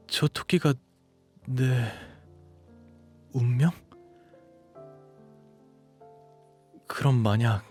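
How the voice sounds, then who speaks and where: A man's voice: medium pitch, darkish tone, husky. A man acting as an eager, cutesy, pleading twenty-year-old dog shapeshifter in an audio drama, with a boyish manner. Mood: wistful, smitten. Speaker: voice actor.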